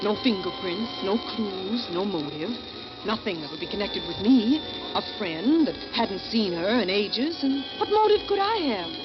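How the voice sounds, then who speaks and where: An actress playing a bitter, desperate failed actress secretly plotting murder, narrating in a brooding, confessional 1940s radio thriller style. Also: female voice, medium pitch, very animated, moderate pace, lightly acted. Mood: cold, desperate. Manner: brooding, dramatic, confessional delivery. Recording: muffled vintage radio recording with loud music.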